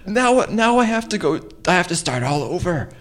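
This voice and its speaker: A man in improvised radio theater playing a pushy, easily flustered young schemer who stammers and repeats himself excitedly. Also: high-pitched, normal speed. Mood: exasperated. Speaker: improv comedy performer.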